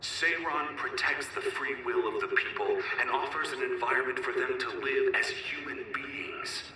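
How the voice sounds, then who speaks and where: An actor playing a defiant rebel military leader in a video game, delivering a grandiose, scornful proclamation over an amplified broadcast. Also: male voice, normal speed, sharp tone. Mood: rousing, resolute. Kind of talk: dramatic public address in a video game. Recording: echoing, distant broadcast with faint rumbling noise.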